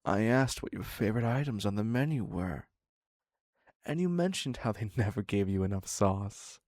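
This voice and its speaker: A man's voice: lowish voice, lively intonation, moderate pace, a little mellow, dry recording, clean studio sound, natural voice. A man playing a theatrical, clingy serial killer in an audio roleplay, wry and mock-courteous as he dotes on his captive. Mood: wounded, coaxing. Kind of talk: scripted dark romance audio roleplay.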